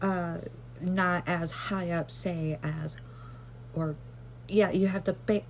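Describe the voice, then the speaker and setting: A woman vlogger rambling gently through casual self-care advice, with lots of fillers and self-deprecating asides. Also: on the low side, steady tone, takes it slowly, slight background noise.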